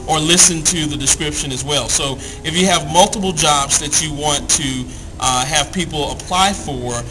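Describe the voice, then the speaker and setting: A man's voice: a little high, low-quality recording. A man speaking as a guest, explaining tools in an informal, plain-spoken, instructional way.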